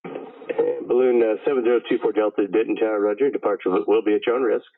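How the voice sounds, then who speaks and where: A man's voice: on the low side, steady tone, quick pace. A man working as a tower controller, giving routine procedural air traffic instructions over the radio. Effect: radio transmission. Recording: tinny, phone-like radio channel.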